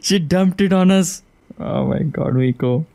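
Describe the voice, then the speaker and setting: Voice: medium pitch, unhurried, gravelly, very noisy. A game streamer giving casual, informal commentary with frequent giggly reactions. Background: game music.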